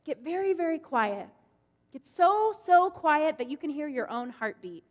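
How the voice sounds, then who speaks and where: A woman's voice: high-pitched, very animated, measured pace, pitched above the performer's own voice. A woman voicing a fussy, hospitable old man who dotes on a guest with insistent Yiddish exclamations. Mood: hushed. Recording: long hall reverb.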